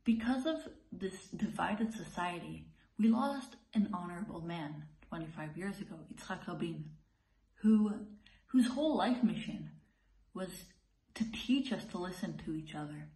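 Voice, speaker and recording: A teenage female high school student giving a prepared personal reflection into a phone at arm's length in an echoey room. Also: lively intonation, unhurried, mellow. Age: teens. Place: indoors in a bare-walled room.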